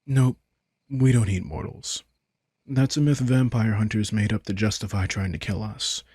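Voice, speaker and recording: A man playing a playful, smug vampire in an intimate roleplay audio drama, casual, sardonic and teasing, with a husky, gravelly voice. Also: on the low side, fairly even intonation, moderate pace, a little mellow, dry recording, studio quality. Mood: teasing, amused. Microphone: close, dry studio microphone.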